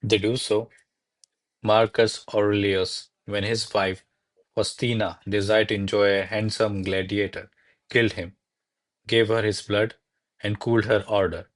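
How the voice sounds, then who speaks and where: A man acts a cautious secretary serving a great lady in a classical radio comedy. His voice is on the low side. He delivers formal verse in a measured, deferential, theatrical way. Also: natural voice. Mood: measured advising.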